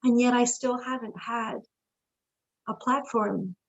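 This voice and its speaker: A middle-aged woman from New York, a stage actress talking as a guest in a casual interview, telling stories in a lively, expressive way and laughing often. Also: on the high side, slow pace, creaky, dull audio.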